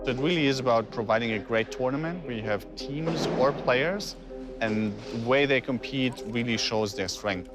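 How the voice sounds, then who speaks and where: A man's voice: medium pitch, moderate pace. Man answering interview, music behind.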